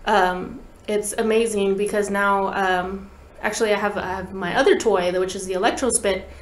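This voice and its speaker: A woman's voice: mid-range voice, steady tone, normal speed. A young woman, a guest musician, talking informally and slangily in a casual podcast interview at a desk microphone. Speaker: guest musician, talk box player. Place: small indoor podcast studio. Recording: roomy echo, background noise.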